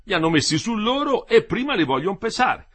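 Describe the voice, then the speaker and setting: A man voicing a middle-aged male building contractor, smooth-talking and paternal, speaking up in a raised, persuasive voice. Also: high-pitched, very animated, a little fast, fairly bright tone, exaggerated voice.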